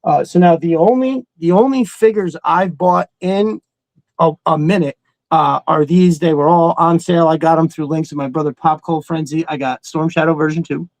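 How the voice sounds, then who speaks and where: Guy w/ higher creaky voice just rambling about stuff he's into, super casual n slangy. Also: normal speed, darkish tone, dry recording, poor audio. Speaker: host of a toy collecting livestream. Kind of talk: casual chat.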